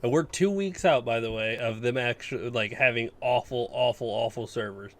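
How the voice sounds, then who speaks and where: A man's voice: medium pitch, moderate pace. A man chatting casually as a podcast co-host, blunt and given to mild swearing. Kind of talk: casual gaming chat.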